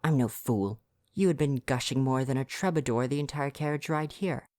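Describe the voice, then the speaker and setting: A man's voice: mid-range voice, moderate pace, darkish tone, dry recording, studio quality, natural voice. A man playing a prim, devoted royal butler with formal, fretful diction in an ASMR roleplay. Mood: scolding, prim.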